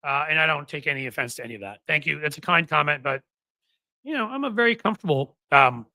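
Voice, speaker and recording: Fifty-something male host, rambling and wry, bright tone, dry close-miked sound. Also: a little high, animated, fast talker, no echo. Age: 50s. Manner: rambling, self-interrupting, wry asides. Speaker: political podcast host. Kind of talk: casual livestream talk to viewers.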